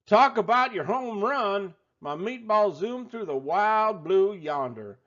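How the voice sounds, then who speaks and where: A man voicing a folksy, excitable restaurant owner telling a tall tale in a theatrical drawl for a children's storybook. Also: high-pitched, slow pace, lo-fi sound, exaggerated voice. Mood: folksy, excited. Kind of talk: animated children's storybook read-aloud.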